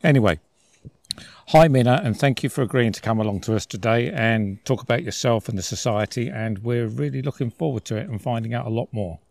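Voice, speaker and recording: A man's voice: mid-range voice, fairly quick, creaky, darkish tone, no echo. A man hosting a podcast interview outdoors in a forest, introducing his guest in a friendly, conversational way. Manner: friendly, conversational, some filler hedges. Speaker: podcast host.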